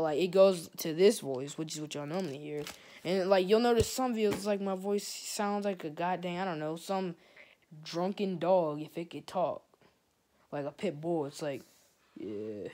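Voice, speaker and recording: A teenage boy vlogging in a small bedroom into a phone camera held close. He talks in a loose, rambling way, full of "like", and his unsteady voice tends to crack. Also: fairly high pitch. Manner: rambling, full of "like", drifts off topic. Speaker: gaming channel vlogger. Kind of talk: rambling casual vlog.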